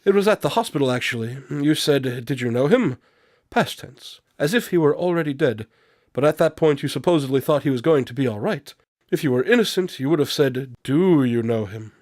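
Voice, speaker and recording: A man playing a shrewd, unflappable detective in a radio drama, measured and knowing as he confronts a suspect. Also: mid-range voice, expressive, a little mellow, no room sound, studio quality, lightly acted. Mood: smug, calm. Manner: measured, knowing, coolly accusatory. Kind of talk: amateur radio drama acting.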